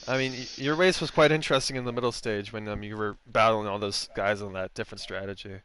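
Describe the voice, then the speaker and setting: A man's voice: on the low side, fairly even intonation, fast, fairly bright tone, clear, resonant tone, poor audio. Laid-back joking male race host. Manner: joking, laughing, crude banter. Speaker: host of a sim racing post-race stream. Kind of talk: casual post-race interview.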